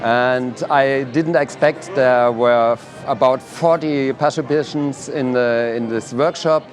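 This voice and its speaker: A man with a mid-range voice calmly explains a morning dance workshop he led. Faint music drifts behind him. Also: mid-range voice, slow pace. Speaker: workshop teacher. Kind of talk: festival interview.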